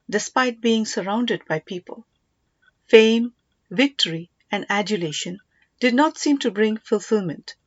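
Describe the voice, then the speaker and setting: Female audiobook narrator, mid-pitched, scripted storytelling, close dry sound, slightly muffled. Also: dry recording.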